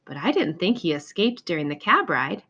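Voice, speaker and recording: A woman's voice: lowish voice, sing-song, normal speed, pushed and bright, lo-fi sound, heavily acted. A woman voicing a considerate, inquisitive mother in a children's storybook readaloud. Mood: surprised. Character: kind, curious mother of a young boy.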